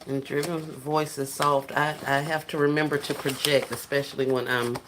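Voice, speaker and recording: A soft-spoken woman, chatting casually with viewers on a livestream while she works on gift baskets. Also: low voice, flat delivery, normal speed, noisy background. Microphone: phone set down while working.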